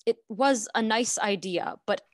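An actress with an American accent playing a dissatisfied, vain young wife in a modern radio play, speaking in casual slang. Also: female voice, fairly high pitch, measured pace, dry recording, studio quality, close to an everyday voice. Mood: sulky, disdainful.

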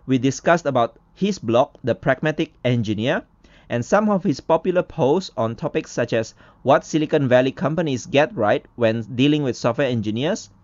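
A man's voice: a little high, darkish tone, no room sound, dull audio. Male podcast host, close dry sound. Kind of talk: scripted podcast introduction and interviewing.

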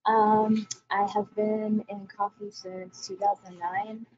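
A woman from Mexico introducing herself on a video panel as a coffee shop owner, in a relaxed storytelling manner. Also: mid-range voice, slow pace, lo-fi sound, slight background noise.

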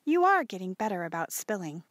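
A woman voicing a practical, kindly farm mother with a gentle, matter-of-fact manner in an audiobook. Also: mid-range voice, big pitch swings, brisk, no echo, studio quality. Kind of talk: audiobook character voice.

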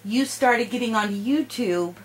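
A woman's voice: a little high, normal speed. A young woman student interviewing a channel host, summarizing his answers back and asking follow-up questions in an encouraging way, in a home library. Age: young adult. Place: home library with bookshelves and fireplace.

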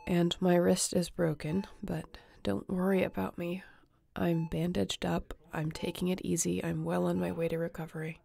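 A woman playing an earnest, emotional space captain in a sci-fi audio roleplay, tender and halting. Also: fairly low pitch, level tone, gravelly, warm tone. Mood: gentle, reassuring. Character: woman space captain, tender, guilt-ridden, devoted to her crewmate.

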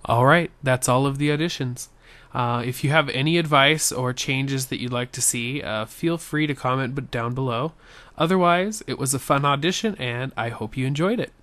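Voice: mid-range voice, moderate pace, a bit bright, dry recording. An amateur voice actor giving casual, unassuming introductions to an audition.

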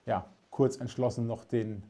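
Mellow-voiced man hosting a live stream. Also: medium pitch, fairly even intonation, a little slow, slight background noise. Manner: friendly, chatty, addresses viewers directly. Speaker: host. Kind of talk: casual live-stream chat. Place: studio with dark backdrop.

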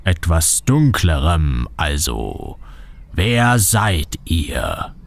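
A man's voice: extremely low pitch, sing-song, drawn-out words, creaky, heavily acted. An actor playing a shady, theatrical older male reporter in a comic radio play, speaking in an ominous, melodramatic way.

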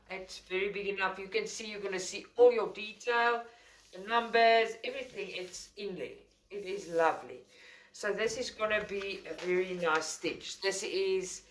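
A woman's voice: a little high, fairly bright tone, rough recording. Woman, South African accent, native Afrikaans, chatty, self-correcting, indoors at a table. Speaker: craft channel host. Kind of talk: casual unboxing talk to camera. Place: indoors at a table, filming overhead.

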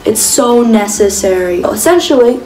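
Young female vlogger, casual and bubbly, slightly high voice, echoey room. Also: moderate pace, distant mic, slight background noise. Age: young adult. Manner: bubbly, excitable, squeals outdoors. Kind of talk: casual vlog chat. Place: dorm room, indoors.

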